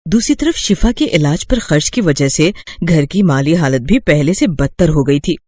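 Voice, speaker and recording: Higher-pitched male story narrator. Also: lively intonation, normal speed, darkish tone, no echo, clean studio sound.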